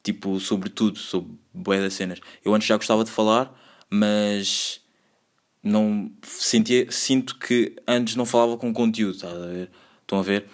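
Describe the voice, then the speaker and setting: A man's voice: on the low side, steady tone, moderate pace. A man in his twenties with a Lisbon Portuguese accent, hosting his own podcast in a loose, rambling way full of slang and filler words. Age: 20s. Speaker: podcast host. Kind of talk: solo casual podcast monologue.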